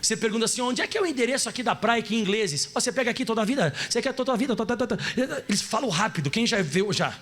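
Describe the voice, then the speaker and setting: A pastor from southern Brazil with a Gaúcho accent, preaching in an animated, playful way and putting questions to the congregation. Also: male voice, sits high, rapid-fire, hardly any pauses. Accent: Gaúcho Brazilian Portuguese. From Rio Grande do Sul, Brazil. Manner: animated, playful, acts out voices and asks the audience questions. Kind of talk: sermon.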